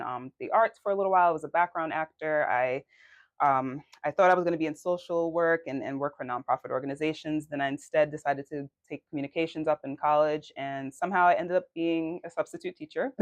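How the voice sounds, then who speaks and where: A woman's voice: deep voice, a little fast, no echo. A young adult woman from Queens, co-hosting a podcast in an earnest, faith-filled way and laughing easily. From New York City, Queens. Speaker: podcast co-host. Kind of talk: casual chat.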